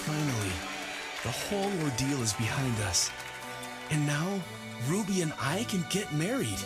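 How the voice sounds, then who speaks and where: A man's voice: a little high, lively intonation, unhurried. A man playing an earnest young groom, the hero of a fairy-tale video game. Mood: relieved. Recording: loud music over the voice.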